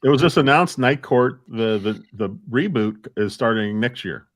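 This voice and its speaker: A man's voice: mid-range voice, moderate pace. A man speaking casually as a panelist on a group chat show. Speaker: podcast panelist.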